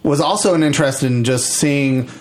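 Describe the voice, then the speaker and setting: A man's voice: a little high, moderate pace. A middle-aged man hosting a podcast, chatting casually with playful, campy turns of phrase. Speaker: podcast host. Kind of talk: casual conversational interview chat. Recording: clear background noise, room ambience.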